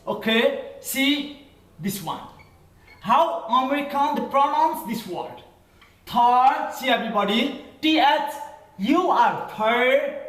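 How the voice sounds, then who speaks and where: High-voiced Nepali man teaching English. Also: a bit bright, reverberant, poor audio. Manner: repetitive, spells words letter by letter, keeps checking "okay?". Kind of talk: online classroom lesson. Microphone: video call.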